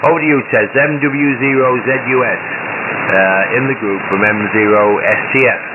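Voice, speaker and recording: A man's voice: lowish voice, moderate pace. A man chatting casually as a ham radio operator, hesitant and repeating words, over a shortwave radio link. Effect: shortwave radio transmission. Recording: tinny narrow-band radio, loud hiss and static.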